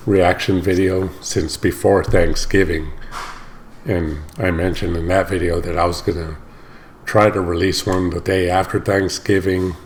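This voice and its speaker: Deep, gravelly, slightly hoarse man. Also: slight background noise. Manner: rambling, stops often to correct himself. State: slightly hoarse, recovering from illness. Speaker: reaction channel host. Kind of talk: casual talk to camera.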